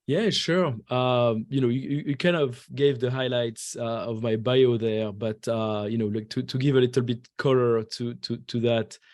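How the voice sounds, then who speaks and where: A man in his forties, born and raised in France and speaking English as a second language, answers interview questions in a halting way, full of fillers and restarts. His voice sits at an ordinary pitch and sounds close and dry, with no room around it. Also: steady tone, darkish tone, no room sound. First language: French. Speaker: guest investor. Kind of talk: podcast interview.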